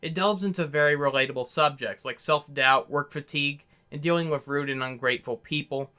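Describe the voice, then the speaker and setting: Bright-voiced man narrating a review. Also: fairly high pitch, fairly quick, smooth. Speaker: video reviewer.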